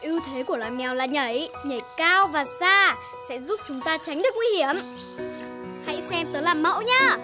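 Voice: high voice, expressive, normal speed. An actor voicing a cocky, street-smart young stray cat in a cartoon, talking in a teasing, bossy, confident way. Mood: instructing, confident. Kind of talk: animated cartoon dubbing. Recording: faint music behind the voice.

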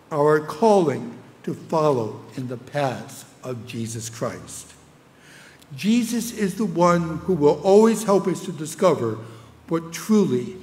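An older man with a New England accent preaches from the pulpit, slow and deliberate, his pitch sitting a touch high and swinging widely as he lifts scripture lines. His words ring out through a church with a long reverb tail. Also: a little mellow. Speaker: pastor. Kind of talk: sermon. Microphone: pulpit microphone.

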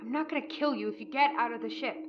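A woman's voice: fairly high pitch, quick pace, dull audio, over music, lightly acted. An actress playing a tough, commanding female rebel captain in a sci-fi audio drama. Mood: threatening. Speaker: voice actor.

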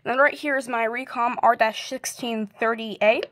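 A hobbyist showing off a collection in a casual, halting, offhand way, filmed on a handheld device in a bedroom. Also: medium pitch, steady tone, fairly bright tone. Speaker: hobbyist video maker. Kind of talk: casual show-and-tell of a collection. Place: small carpeted bedroom, indoors. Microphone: handheld phone or camera, moving around.

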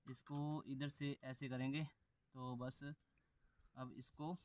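A man's voice: medium pitch, level tone, drawn-out words, darkish tone, a little hiss or hum. A young man from Himachal Pradesh, chatting casually to his viewers while camping in a grassy field. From Himachal Pradesh, India. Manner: chatty, addresses viewers as friends. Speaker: vlogger. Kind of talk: casual vlog talk to viewers. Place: outdoors in a grassy wooded field. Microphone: camera held at arm's length.

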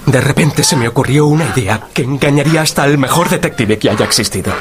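An actor playing a cowardly, nervous thief in a radio drama, pleading and justifying himself. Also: male voice, mid-range voice, fast talker, background noise, close to an everyday voice. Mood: nervous confessing.

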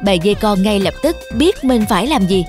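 A woman's voice: medium pitch, animated, brisk, a little mellow. A woman narrator reading a scripted children's story. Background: music.

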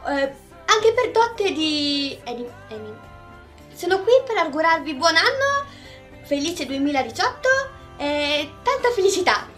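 A woman's voice: high voice, sing-song, measured pace, a bit bright, soft background music. A young woman chatting casually and ramblingly into a phone at arm's length indoors. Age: young adult. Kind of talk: casual chat to camera. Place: indoor room.

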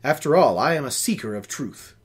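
A man reads the part of a good-natured young man in a storybook. He is inquisitive and earnest, with a lively range of pitch. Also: a little fast, breathy edge. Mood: friendly.

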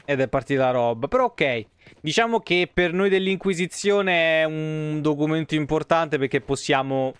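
A man's voice: on the high side, clean tone, no echo. A man, giving casual, sweary, grumbling live game commentary into a close mic. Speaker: game streamer. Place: small indoor room.

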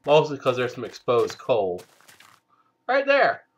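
A man hosting a game let's play, stuffed up from a cold, talking slowly with many hesitant fillers. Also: mid-range voice, wildly swooping pitch, highs cut off. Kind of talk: casual gameplay commentary.

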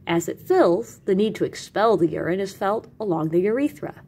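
A woman reading educational material aloud as a teacher, with animated emphasis and carefully separated lists. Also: mid-range voice, normal speed, slightly rough. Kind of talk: expressive educational read-aloud.

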